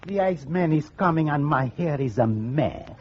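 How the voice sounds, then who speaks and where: A man's voice: a little high, lively intonation, moderate pace, natural voice. Man acting a swooping, formal would-be sponsor. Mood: doubtful. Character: hesitant, formal rumba songwriter and would-be sponsor. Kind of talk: 1940s radio comedy acting. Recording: muffled, slightly noisy old radio recording.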